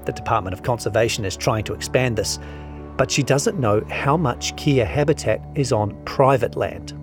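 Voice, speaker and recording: A man's voice: medium pitch, moderate pace, music in the background. A man narrates a scripted long-form article, reading out both the reporting and the quotations.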